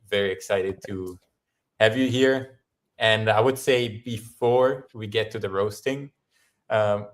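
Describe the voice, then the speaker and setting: Man, Italian accent, lowish pitch, upbeat live-stream host, chatty and welcoming. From Italy. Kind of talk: casual live-stream chat. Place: home in Bali, at night.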